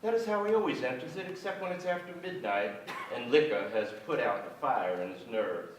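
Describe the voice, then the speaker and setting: An actor in his sixties playing a reflective son who recounts his troubled family in a measured literary stage reading. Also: medium pitch, expressive, lightly acted. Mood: somber, reflective. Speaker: actor reading a short story. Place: on a theater stage, indoors. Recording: very echoey theater, distant, noisy.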